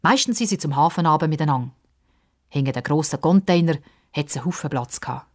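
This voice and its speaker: A woman's voice: low-pitched, quick pace, darkish tone. A woman with a Swiss accent narrating a children's story expressively.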